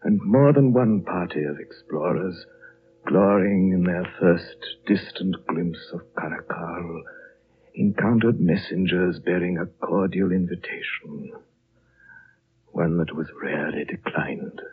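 A very deep, hushed old holy man speaks with grave serenity. His phrases come very slowly and formally, frail yet all-knowing. It is a muffled, noisy old radio drama recording. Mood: solemn narration. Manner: slow, solemn, formal, courtly phrasing. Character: ancient, serene, all-knowing male High Lama of a hidden monastery. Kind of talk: 1930s radio drama acting.

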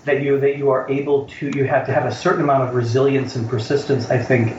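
A man's voice: mid-range voice, flat delivery, fast, darkish tone, a little hiss or hum. A middle-aged American man, a college instructor, answering interview questions in a thoughtful way and often repeating words as he searches for phrasing. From United States. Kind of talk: reflective interview conversation. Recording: roomy, slightly dull recording.

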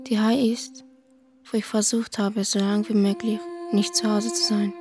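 A woman's voice: medium pitch, flat delivery, normal speed. A woman reading a scripted, reflective narration. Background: music.

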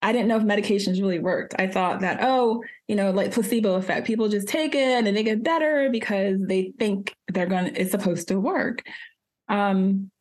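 A woman's voice: mid-range voice, normal speed, darkish tone. A woman from Jamaica who was raised in New Jersey, a pharmacist and podcast guest telling her story in a chatty way, with many fillers and frequent laughs. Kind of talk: casual interview storytelling.

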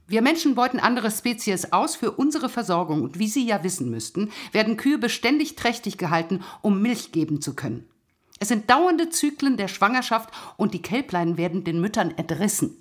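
A woman's voice: medium pitch, sing-song, moderate pace. An actress playing a stern female police detective in an audio drama, confrontational, sarcastic and indignant as she interrogates. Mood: indignant, lecturing.

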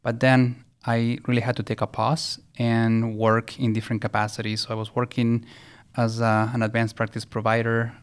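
A man from Chile in his thirties, telling his career story in a measured, earnest way as a podcast guest. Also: fairly low pitch, clean tone. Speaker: guest, nursing regulator. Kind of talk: podcast interview.

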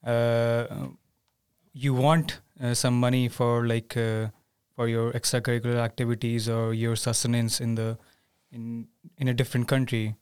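A man's voice: mid-range voice, level tone, normal speed, darkish tone, smooth, no room sound, clean studio sound. A man from India, a master's student speaking as a podcast guest in a hesitant way with many "uh" fillers. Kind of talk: casual interview chat.

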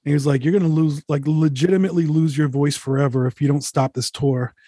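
A man in his forties, a touring musician, telling candid road stories in a relaxed, rambling way, swearing freely. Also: on the high side, fast talker, slightly rough, no room sound, studio quality. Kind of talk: casual interview, candid storytelling.

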